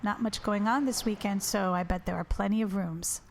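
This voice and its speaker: A woman's voice: mid-range voice, steady tone, normal speed, vocal fry. An actress playing a guarded, weary but firm woman in a naturalistic audio drama, with a curt, dry manner. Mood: guarded, cool.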